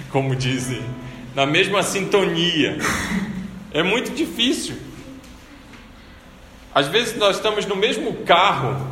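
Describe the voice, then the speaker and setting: A man preaching a sermon in a conversational storytelling manner, often tagging his points with a quick rhetorical question. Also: a little high, sharp tone, a little hiss or hum. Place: church. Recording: echoey church hall with faint ambience.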